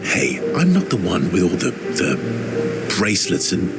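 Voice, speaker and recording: Gravelly, low-pitched bantering man, music behind. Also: wildly swooping pitch, a little slow, heavily acted. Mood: playful, mock-offended. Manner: hesitant, bantering, mock-offended. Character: mysterious, youthful-seeming centenarian man, playful, self-deprecating, gentle. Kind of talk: naturalistic video game cutscene acting.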